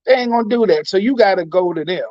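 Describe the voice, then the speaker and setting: A man's voice: sits high, animated, fast talker, dry recording, dull audio. A man on a discussion panel, speaking earnestly and colloquially with many rhetorical questions. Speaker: talk show panelist. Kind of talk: impassioned panel talk.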